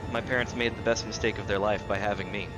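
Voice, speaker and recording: Chatty man streaming over loud music. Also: fairly low pitch, fairly even intonation, fast. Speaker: video game livestreamer. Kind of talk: casual live gaming commentary, chatting to viewers. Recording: music behind the voice. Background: loud game music.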